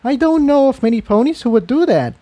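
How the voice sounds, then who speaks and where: Storybook role: gracious female unicorn pony, high and soft-edged, acted by a man. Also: sing-song, fairly quick, no echo. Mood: grateful, friendly.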